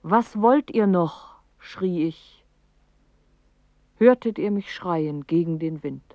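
A woman playing a lonely, imploring poetic voice in a literary radio play, with solemn, sparse, drawn-out phrases. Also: fairly low pitch, lively intonation, dark tone, dry recording. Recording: dry, slightly dull studio recording.

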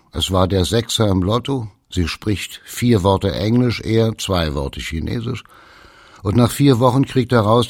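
An actor playing a wry German pastor, telling anecdotes in clipped sentences in a radio drama. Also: male voice, fairly low pitch, vocal fry, breathy edge, no echo, studio quality. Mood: amused disbelief. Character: wry male German pastor among expatriates, blunt and amused. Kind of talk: documentary radio drama monologue.

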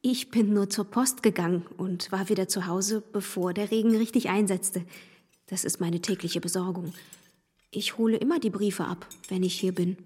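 An actress playing a reserved, polite young gentlewoman with quiet melancholy in a literary radio play. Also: mid-range voice, breathy edge, lightly acted. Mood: polite, modest. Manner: earnest, restrained, courteous. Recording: studio radio play with hall reverb.

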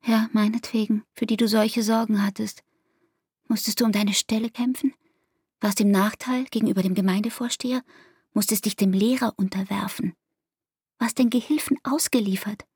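An actress playing an anguished, devoted young barmaid in an intimate radio play, with speech and a breathy, half-whispered, intense delivery. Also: female voice, a little high, a little mellow, dry recording, studio quality.

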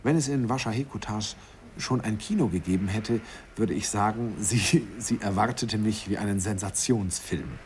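Man narrating wistful memories, low and soft. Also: moderate pace, slight background noise, lightly acted. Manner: soft, wistful, intimate, sometimes whispered. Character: grown man fondly recalling his youth. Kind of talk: 1950s radio play narration.

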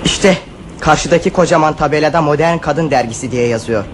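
A man's voice: a little high, brisk, background noise, close to an everyday voice. An actor in a radio play voicing an impulsive, clumsy young boy who is eager to propose ideas.

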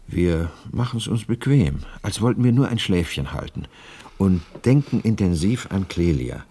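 An actor playing an anxious, earnest widower in a German radio play, pleading and insistent, with a husky voice. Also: male voice, low-pitched, dark tone, full character voice. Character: anxious, earnest widower bent on summoning dead wife.

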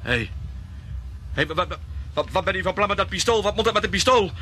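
A jittery henchman , as a man acts him out, who stumbles over his words and talks in a quick, high-pitched rush. Hiss runs under the old radio play recording. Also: close to an everyday voice. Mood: panicked. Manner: stammering, pleading. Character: nervous male henchman, stammering and panicky. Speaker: hired gunman. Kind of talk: radio drama acting.

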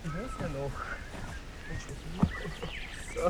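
A man playing an insistent, excitable field experimenter in a naturalistic radio play, talking in repetitive fragments. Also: fairly high pitch, drawn-out words, full character voice. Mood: agitated, bewildered. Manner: agitated, repetitive, muttering in fragments.

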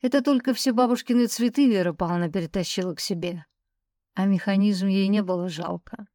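A woman's voice: mid-range voice, a little fast, dark tone, dry recording, studio quality. A woman reading a first-person novel aloud as an audiobook narrator.

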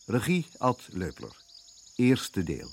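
Slow, formal male radio play announcer. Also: on the low side, huge pitch swings, vocal fry, darkish tone, breathy edge.

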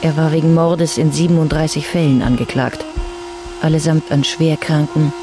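A woman's voice: low-pitched, fairly even intonation, normal speed, music in the background, close to an everyday voice. A woman as the sober, factual narrator of a crime thriller radio drama.

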